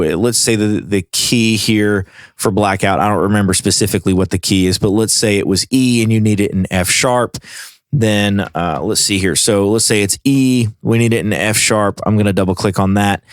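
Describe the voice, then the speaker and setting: Man with a lowish voice, casual tutorial. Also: no room sound, studio quality. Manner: informal, explaining step by step. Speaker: tutorial presenter. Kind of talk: casual software tutorial narration.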